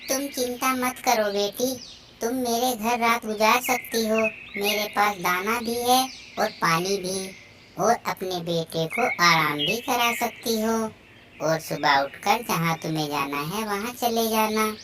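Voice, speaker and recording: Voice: mid-range voice, measured pace, distant mic, noisy background, natural voice. A performer voicing a kindly, comforting old female pigeon in a cartoon moral story.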